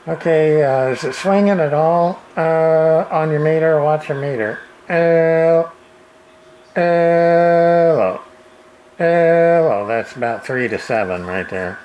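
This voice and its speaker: A man giving a casual, off-the-cuff demonstration as a radio repair hobbyist, halting and folksy in a plain-spoken way. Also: fairly high pitch, darkish tone, muffled recording. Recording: clear background radio noise and hiss. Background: radio noise.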